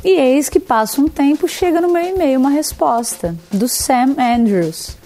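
A woman from Brazil recounts her past in an easy, conversational way, leaping up in pitch as she replays her own reactions. Her voice sits on the higher side with a mellow, darker tone. Faint music plays beneath her. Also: unhurried. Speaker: interviewee telling her story. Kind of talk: casual storytelling.

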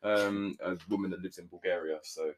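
Laid-back young man, low voice. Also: steady tone, a little fast, a loud crowd. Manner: laid-back, rambling, lots of "like" and "you know what I'm saying". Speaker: podcast co-host. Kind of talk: casual chat.

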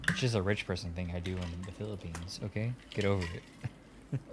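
A man playing a smug, rich male vaccine company executive, talking deadpan nonsense with bursts of giggling. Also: sits low, moderate pace, very noisy, close to an everyday voice. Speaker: podcast guest doing a bit.

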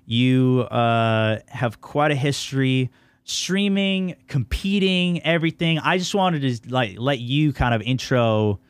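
A man's voice: mid-range voice, slow pace, clear, resonant tone, dry recording. A man hosting a gaming podcast in a casual, chummy way, chatting informally with his guest. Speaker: podcast host.

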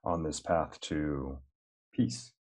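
A man interviewing a guest who listens quietly and offers soft affirmations. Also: a bass voice, steady tone, extremely slow.

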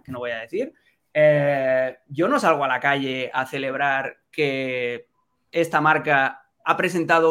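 Opinionated man from Catalonia, higher voice. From Catalonia, Spain. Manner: opinionated, animated, many fillers. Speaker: football TV presenter, guest. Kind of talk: live podcast chat.

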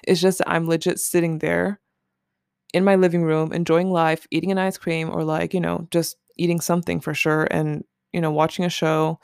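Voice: medium pitch, little pitch movement, normal speed, gravelly, a little mellow, clean tone, dry recording, studio quality. A host chatting casually and candidly alone, rambling informally with some swearing. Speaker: solo podcast host. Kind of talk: casual rambling chat, commenting on a list.

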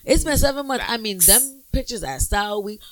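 A woman co-hosting a casual podcast, animated and hyping up her friends. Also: fairly high pitch, fairly quick. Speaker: podcast co-host. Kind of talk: casual chat.

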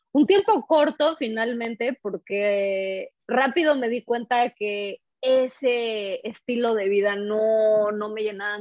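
A woman's voice: medium pitch, dry recording. A woman with a Mexican accent, telling personal stories casually and expressively as a podcast guest. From Mexico City, Mexico. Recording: dull lo-fi microphone sound.

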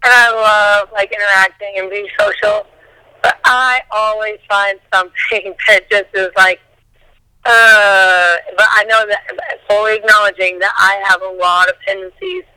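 A woman singer chatting casually as a guest, with a hoarse voice, who mumbles and restarts her sentences. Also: mid-range voice, steady tone, moderate pace, bright, forceful tone, no room sound, low-quality recording. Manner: mumbles, talks in loose restarts. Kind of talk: casual interview chat.

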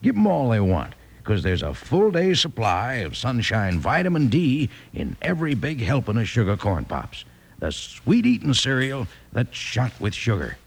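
Gravelly, folksy American radio pitchman. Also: huge pitch swings, normal speed. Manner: folksy cowboy drawl. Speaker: commercial pitchman. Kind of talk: 1950s radio advertisement.

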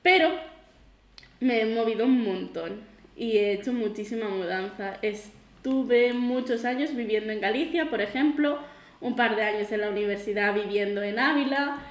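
Woman, late 20s, fairly high voice, laughs a lot, teasing co-host, echoey room. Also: normal speed. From Girona, Catalonia, Spain. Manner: laughs easily, dry teasing. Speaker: podcast co-host. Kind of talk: casual chat.

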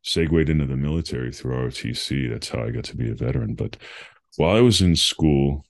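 A man's voice: a bass voice, lively intonation, quick pace, vocal fry. A middle-aged man from New England, a meditation coach, thoughtfully telling his life story as a podcast guest, with many fillers. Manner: thoughtful, rambling, with frequent fillers and laughs. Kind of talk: reflective conversational storytelling.

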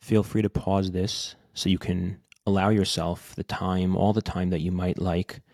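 A man with a deep, low-pitched voice guides a meditation. His delivery is gentle and unhurried, with little rise and fall, and he leaves long pauses between short phrases. The recording is close and dry. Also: little pitch movement, no echo. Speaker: podcast host guiding a meditation.

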